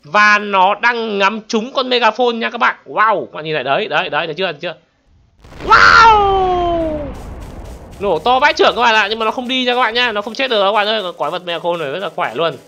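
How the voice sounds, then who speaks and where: A man giving excitable gameplay commentary as a channel host, often exclaiming and addressing his viewers. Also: high voice, a little fast.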